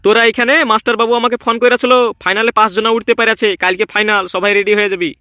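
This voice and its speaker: Man voicing cocky, high-pitched village boy. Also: level tone, quick pace, pushed and bright, no echo, studio quality. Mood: bossy. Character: scheming, boastful village boy gang member in comedy cartoon. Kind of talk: comedy cartoon voice acting.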